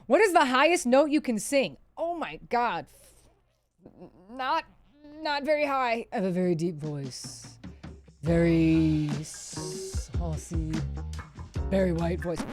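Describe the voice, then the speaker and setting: A woman's voice: lowish voice, lively intonation, slow pace, soft background music. A woman from the chats casually on a livestream, answering questions in a candid, self-deprecating, sweary way. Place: indoors, seated at a PC.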